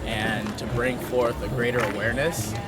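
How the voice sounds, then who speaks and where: A man's voice: medium pitch, steady tone, moderate pace. A man from Barbados, an acro yoga teacher interviewed outdoors at a festival, speaking in earnest, flowing spiritual phrases. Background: loud music.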